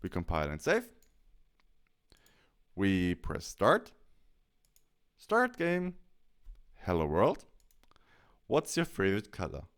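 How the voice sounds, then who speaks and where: Low, smooth-voiced man explaining software. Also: huge pitch swings, slow pace, pauses often. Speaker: tutorial presenter. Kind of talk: software tutorial screencast, explaining step by step.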